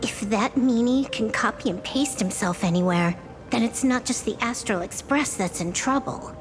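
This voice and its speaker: A woman as a high-voiced, cheeky young girl. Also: close to an everyday voice. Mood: worried. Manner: cheeky, informal, drawn-out delivery. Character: sassy, childlike girl with playful slang. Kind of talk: scripted game voice acting. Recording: background music under the voice. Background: music.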